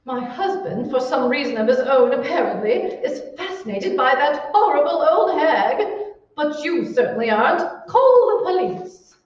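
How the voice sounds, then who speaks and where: Casting: actress as bossy, shrewish rich wife for radio horror, high voice with wide dramatic swoops. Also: female voice, very animated, normal speed. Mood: furious, demanding. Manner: shrill, commanding, scornful. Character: imperious, shrewish wealthy wife, bossy, panicked by a ghost. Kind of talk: old-time radio horror acting. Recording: muffled, very echoey, distant stage recording.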